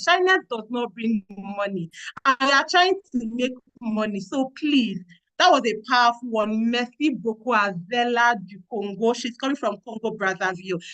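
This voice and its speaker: A woman with a Nigerian English accent moderates an online training session. Her voice sits a little high, and she talks in a lively, exclamatory way, coaching her audience. She is heard through a video call. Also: poor audio.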